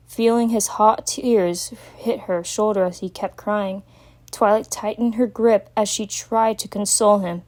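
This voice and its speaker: Woman narrating a dramatic story, medium pitch. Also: darkish tone.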